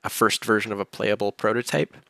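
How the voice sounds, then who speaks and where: A man's voice: lowish voice, fairly quick, gravelly, no echo. A man chatting casually on a livestream Q&A, explaining his team's work at length over a video call. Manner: relaxed, explains at length, chuckles between points. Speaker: game developer answering questions.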